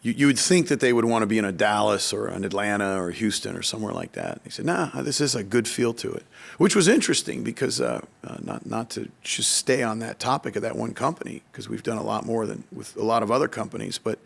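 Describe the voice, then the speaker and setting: A man talking conversationally on a podcast, telling anecdotes in a halting way and often repeating words as he explains. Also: on the low side, very animated, vocal fry, breathy edge. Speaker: economic development official, podcast speaker.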